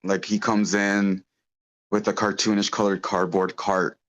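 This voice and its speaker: Deep-voiced man, hesitant and rambling. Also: flat delivery, a little slow, a bit bright, lo-fi sound. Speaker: script feedback participant at an online table read. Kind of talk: casual feedback chat. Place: online meeting.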